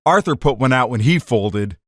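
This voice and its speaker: A man's voice: medium pitch, animated, normal speed, a bit bright, no room sound, clean studio sound, lightly acted. A man playing a hot-headed card-cheating gangster telling his story in slangy 1940s radio drama style. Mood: narrating, bitter.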